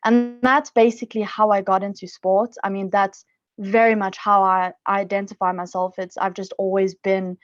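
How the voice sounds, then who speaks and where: A woman's voice: mid-range voice, flat delivery, normal speed, faint music behind. A woman from South Africa, a psychologist guest on a podcast, talking in a chatty, upbeat way with lots of fillers. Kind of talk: casual interview talk. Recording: dull lo-fi dry sound.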